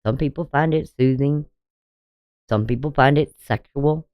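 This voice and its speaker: A woman with a deep voice giving a casual daily astrology reading, talking bluntly and conversationally with frequent "you know". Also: measured pace, no room sound, lo-fi sound. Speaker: astrology channel host.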